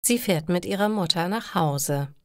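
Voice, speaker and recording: A woman narrating simple stories for language learners in slow, deliberate, scripted phrasing. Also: on the low side, lively intonation, dry recording, clean studio sound.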